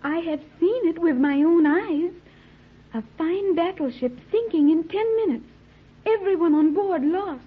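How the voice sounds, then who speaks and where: A woman's voice: very high-pitched, lively intonation, measured pace. An actress playing a solemn, naive, scientific teenage girl with formal, precise diction in an old-time radio serial. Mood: intense, fearful. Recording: muffled, narrow-band old radio recording.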